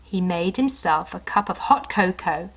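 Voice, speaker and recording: A woman narrating a children's storybook in an animated style, with chatty asides to young listeners. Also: fairly low pitch, measured pace.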